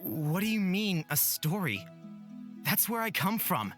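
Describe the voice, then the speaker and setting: Earnest, low-pitched young man. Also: big pitch swings, unhurried, a bit bright, soft background music. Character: earnest, impulsive exiled young man searching for his father. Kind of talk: visual novel character acting.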